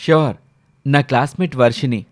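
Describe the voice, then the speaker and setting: A man's voice: mid-range voice, brisk, lightly acted. A man voicing a polite young college man with a courteous, direct manner in a story. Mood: apologetic, frank.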